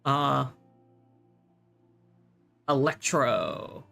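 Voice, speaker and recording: Low-voiced, laid-back woman streamer. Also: animated, drawn-out words, creaky, sharp tone. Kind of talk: casual game stream reactions. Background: game music.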